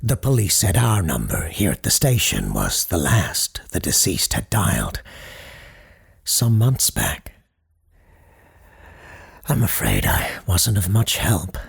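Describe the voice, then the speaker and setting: A man's voice: sits low, huge pitch swings, takes it slowly, vocal fry, long pauses, slightly rough, heavily acted. A man playing a courteous, grave parapsychologist who hosts a late-night paranormal radio show, speaking formally and with ominous understatement in an audio drama. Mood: courteous, wry.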